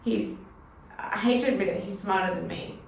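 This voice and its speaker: A woman's voice: mid-range voice, moderate pace, creaky. An Australian woman in her thirties, a finance broker chatting reflectively as a podcast guest, with lots of "you know" fillers. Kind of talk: casual conversational interview. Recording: echoey room, mic at a distance, faint noise.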